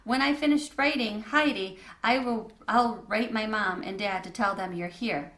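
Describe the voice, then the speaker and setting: A woman's voice: mid-range voice, normal speed, a bit bright, reverberant, natural voice. A woman voicing a wistful, homesick young girl at summer camp in a story.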